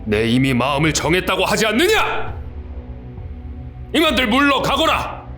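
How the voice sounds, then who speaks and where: An actor playing an aging king and father, commanding and quick to anger, in an audio drama. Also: sits high, wildly swooping pitch, pushed and bright, soft background music, heavily acted. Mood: stern. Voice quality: commanding, clipped royal speech.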